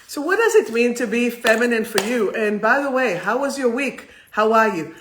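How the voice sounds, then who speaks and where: High-voiced woman with an Israeli accent. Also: expressive, moderate pace, noisy background. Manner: effusive, playful, rambling. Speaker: host of a livestream soul talk. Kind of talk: chatty livestream spiritual talk.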